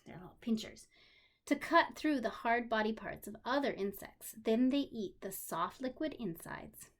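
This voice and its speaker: A woman teacher reading aloud to young children in an expressive manner, pausing for simple explanations and questions. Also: a little high. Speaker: special education teacher.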